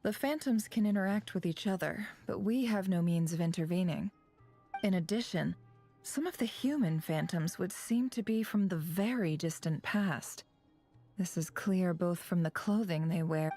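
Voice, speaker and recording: A woman playing a composed female scholar-official in a video game, formal and measured as she explains. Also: lowish voice, animated, mellow, faint music behind. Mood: measured, serious.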